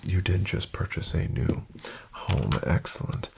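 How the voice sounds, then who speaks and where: A man playing a suave, flattering financial advisor in a soft-spoken ASMR roleplay, hushed, courteous and unhurried, often near a whisper. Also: deep voice, creaky, very noisy, heavily acted. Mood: courteous, businesslike. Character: suave, flattering male financial advisor to wealthy elite clients.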